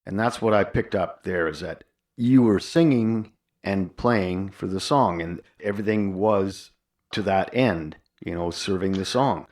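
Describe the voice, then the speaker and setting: Guy podcast host, really deep voice, chatting thru an interview. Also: unhurried, darkish tone. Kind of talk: conversational interviewing.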